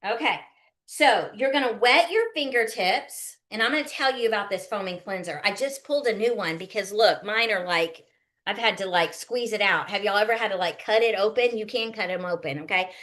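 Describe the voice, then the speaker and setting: A woman's voice: mid-range voice, a little fast, a bit bright. A woman giving a casual skincare demonstration, chatty and folksy, often checking in with her listeners. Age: early 50s. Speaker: skincare consultant hosting a live online demonstration. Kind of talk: casual instructional chat, product demo.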